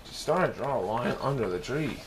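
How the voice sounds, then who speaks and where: A man with a mid-pitched voice reads a children's story aloud. He narrates as a storyteller. The recording has clear noise behind him. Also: animated, fairly quick, rough recording. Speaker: storyteller reading a picture book.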